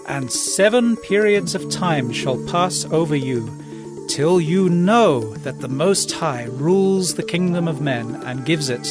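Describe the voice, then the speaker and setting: An actor playing an earnest prophet counselling a king in a dramatized scripture reading, solemn, deferential and measured. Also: fairly high pitch.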